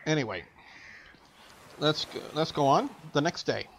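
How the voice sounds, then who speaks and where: A man's voice: on the high side, animated, unhurried, gravelly, a bit bright, a little hiss or hum. A man hosting a gaming channel, reading a visual novel aloud with casual asides.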